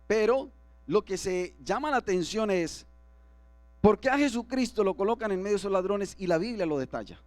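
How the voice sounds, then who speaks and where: A man's voice: high-pitched, animated, moderate pace, darkish tone, no room sound. A man preaching as pastor to his congregation, often asking them for agreement. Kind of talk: sermon. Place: church.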